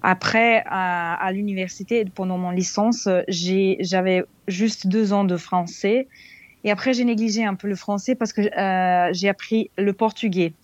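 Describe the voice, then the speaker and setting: Young woman, keeps hunting for words, mid pitch, muffled lo-fi sound. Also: fairly even intonation, measured pace, no echo. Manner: hesitant, searching for words in a second language. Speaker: interviewee, former exchange student. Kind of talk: interview answers.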